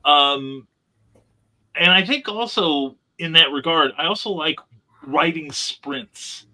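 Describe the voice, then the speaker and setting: A man's voice: fairly high pitch, takes it slowly, pushed and bright, poor audio. A man giving a reflective, explanatory answer as a guest on a discussion panel.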